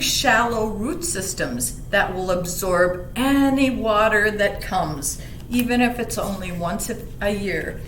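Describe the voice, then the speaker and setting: A woman reading aloud and explaining to children in a gentle, teacherly manner, stressing key words. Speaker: library story reader. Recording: roomy echo, faint rumbling background noise.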